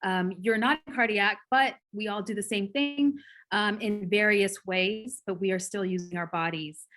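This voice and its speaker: A woman's voice: mid-range voice, a little slow, a bit bright. A young woman hosting an interview over a video call, chatty and affirming, with many fillers. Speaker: host, cardiac sonographer. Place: home room, indoors.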